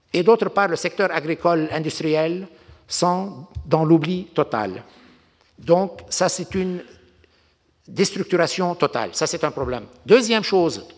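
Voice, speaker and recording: Older man in a reverberant hall. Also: on the high side. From Lebanon. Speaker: diplomat giving a talk. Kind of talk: unscripted geopolitical lecture with anecdotes.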